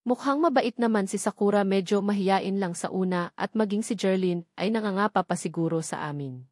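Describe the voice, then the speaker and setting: A woman's voice: mid-range voice, fairly quick, darkish tone, dry recording, studio quality. A woman reading a romance novel aloud in the first person as a scripted narration. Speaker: audiobook narrator.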